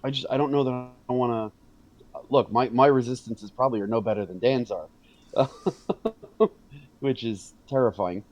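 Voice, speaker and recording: A man at an ordinary middle pitch talks through his turn in a tabletop game. He hesitates, restarts his sentences and apologizes when he gets the rules wrong. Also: normal speed, pauses often, darkish tone. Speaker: player. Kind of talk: casual tabletop game chat.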